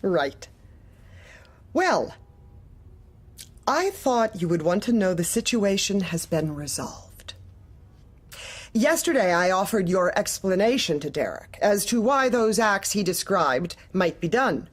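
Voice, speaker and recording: An actress playing a manipulative office manager in a dark comedy audio drama, speaking with measured, formal, condescending politeness. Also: female voice, medium pitch, sing-song, slightly rough, heavily acted. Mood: brisk, controlling.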